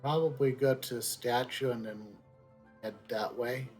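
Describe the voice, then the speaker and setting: A man's voice: mid-range voice, steady tone, a little slow, a little mellow, faint music behind. A man giving casual video game commentary as a streamer, musing aloud as he plays.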